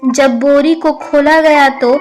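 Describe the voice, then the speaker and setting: High-voiced, unhurried woman storyteller. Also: fairly even intonation, soft background music. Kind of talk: scripted children's story narration.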